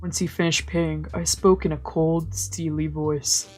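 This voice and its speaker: Mellow, husky woman reading a story aloud. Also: low voice, flat delivery, unhurried. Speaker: streamer reading a visual novel aloud. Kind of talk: dramatic let's play read-aloud with casual asides. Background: game music.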